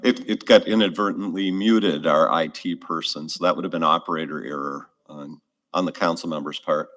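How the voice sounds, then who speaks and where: A man's voice: very deep voice, steady tone, moderate pace, a bit bright. A man at a city council meeting answering questions, explaining things with hedges and restarts. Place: council chamber. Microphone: desk microphone.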